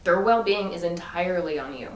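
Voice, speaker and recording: A woman's voice: lowish voice, a little fast, a little hiss or hum. A woman vlogging in a casual, chatty storytelling way, full of fillers and restarts. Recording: echoey room from a distance with faint ambience.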